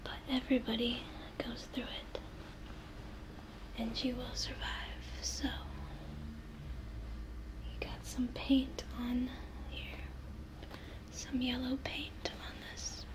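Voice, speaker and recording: A young woman whispers softly and very slowly in an ASMR role play. Her breathy voice sits fairly high, and she guides the listener in a gentle, soothing, halting way. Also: level tone, poor audio. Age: young adult.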